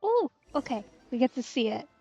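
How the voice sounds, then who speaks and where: A woman with a high voice chats informally as she streams a game. The sound is close, dry and a little dull, with game music underneath. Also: big pitch swings, fairly quick, mellow, husky, no echo. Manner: chatty, informal. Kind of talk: casual game let's-play commentary.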